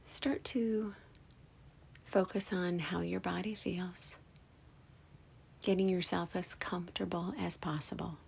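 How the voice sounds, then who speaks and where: A woman leading a guided meditation, speaking softly and soothingly in short phrases with long pauses and breathy, whispery endings. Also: lowish voice, fairly even intonation. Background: background music.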